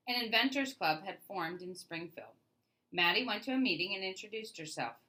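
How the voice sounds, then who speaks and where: A woman's voice: medium pitch, expressive, moderate pace, pushed and bright, poor audio. A woman reading a children's story aloud as narrator, leaning into the start of phrases and trailing off at their ends.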